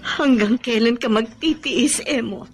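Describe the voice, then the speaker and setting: A woman's voice: fairly high pitch, unhurried, faint music behind. A Filipino woman playing a loving, worried foster mother in a radio drama, tender and emotional. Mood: pained, worried.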